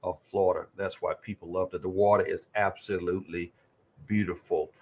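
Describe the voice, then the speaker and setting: Husky low-voiced male host. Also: little pitch movement, fairly quick. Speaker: talk show host. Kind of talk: motivational interview chat.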